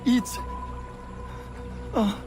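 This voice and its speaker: An actor voicing a frightened, superstitious soldier in a video game, stammering in panic. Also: male voice, very slow, over music. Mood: terrified.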